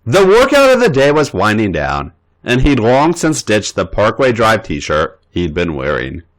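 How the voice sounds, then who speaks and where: A man's voice: on the low side, huge pitch swings, a little slow. A man reading erotic fan fiction aloud as a podcast host, playful and theatrical.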